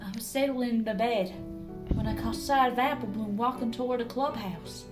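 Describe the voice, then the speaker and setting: A woman's voice: on the high side, moderate pace, close to an everyday voice. A woman voicing a frightened young farm mare confessing and pleading, in a dramatic fan fiction reading. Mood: haunted, recounting. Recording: background music under the voice.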